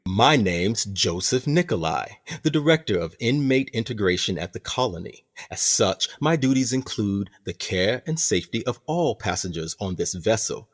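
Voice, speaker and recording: A man acts out a sinister male prison director from a radio play. His voice is low and swings widely in pitch. He speaks with formal, measured courtesy that carries quiet menace. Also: animated. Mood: falsely courteous. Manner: formal, eloquent, theatrical, measured. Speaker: actor in an audio drama. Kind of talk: community theatre radio play acting.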